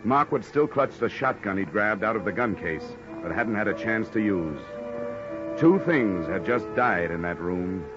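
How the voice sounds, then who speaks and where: Deep-voiced, deadpan American radio detective. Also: male voice, unhurried. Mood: wry narration. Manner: dry, deadpan wisecracks. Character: cool, wisecracking hardboiled insurance investigator, a man. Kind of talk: old-time radio noir acting and narration. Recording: dull vintage radio recording, music behind.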